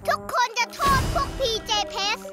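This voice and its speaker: A woman's voice: a little slow, close to an everyday voice. A woman voicing a scheming, mocking young boy villain in a theatrical children's cartoon dub. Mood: gloating. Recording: loud music under the voice.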